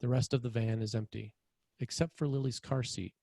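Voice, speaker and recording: A man's voice: mid-range voice, steady tone, fairly quick, creaky, dark tone, dry recording, studio quality. A man narrating screenplay scene headings and action in a scripted read.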